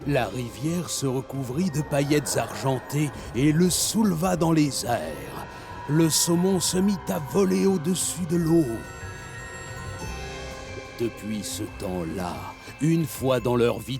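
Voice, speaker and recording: A man narrating a children's cartoon as a gentle storyteller. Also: medium pitch, gravelly.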